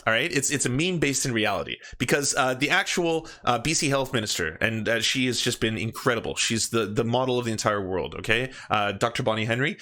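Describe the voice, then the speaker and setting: A man's voice: mid-range voice, brisk, few pauses, pushed and bright. A Canadian man hosting a comedy show, reading and mocking a news story with a sarcastic, joking manner. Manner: mocking, puts on silly voices when quoting. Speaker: comedy podcast host. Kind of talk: comedic political commentary, reading aloud.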